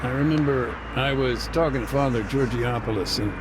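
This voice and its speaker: A middle-aged man voicing a frank former combat engineer turned demining worker, measured and matter-of-fact in a game cutscene. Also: mid-range voice, very noisy, lightly acted. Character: weary male ex-soldier turned deminer, frank. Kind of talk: voiced game cutscene dialogue.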